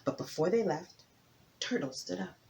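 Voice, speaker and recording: A woman narrating a folktale as a storyteller, with theatrical emphasis and dramatic pauses. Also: lowish voice, animated, creaky, a little mellow, a little hiss or hum.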